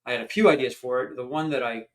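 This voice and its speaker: An older man from Canada, a founder, is a guest on a podcast. His voice sits in the middle register, and he tells his stories in a chatty, animated way. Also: mid-range voice, fast. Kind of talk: storytelling in an interview.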